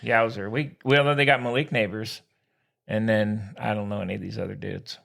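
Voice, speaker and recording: A man's voice: lowish voice, fairly even intonation, normal speed, vocal fry, fairly bright tone. A man co-hosting a sports podcast in casual banter, with an informal, exclamatory, slangy way of talking.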